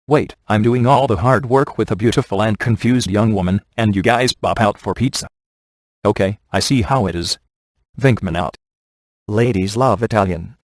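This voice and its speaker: Smug, sarcastic male ghost hunter played by a male actor for a comedy video game; deadpan wisecracks, very dry studio sound. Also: on the low side, no echo, natural voice. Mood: wry.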